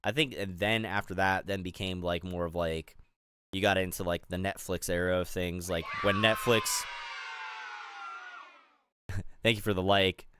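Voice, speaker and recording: A man's voice: deep voice, fairly even intonation, unhurried, long pauses, a bit bright, slight background noise. A middle-aged man from the Pittsburgh area, streaming and rambling casually with lots of fillers and restarts while he builds. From Pittsburgh area, USA. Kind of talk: casual chat while building a toy set.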